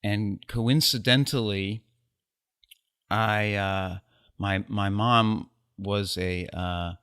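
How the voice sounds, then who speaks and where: A man from the United States, a guest photographer in a casual interview, speaking reflectively with wry, self-deprecating humor and pauses filled with ums. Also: on the low side, fairly even intonation, fairly bright tone, clean tone.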